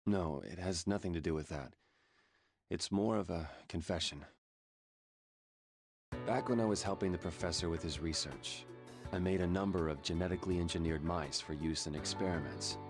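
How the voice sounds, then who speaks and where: An actor playing a gruff, weary, middle-aged surgeon with a dry, grumbling manner in an animated game drama. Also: male voice, deep voice, moderate pace, over music. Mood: somber, confessing. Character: gruff, weary, guilt-ridden cynical male surgeon.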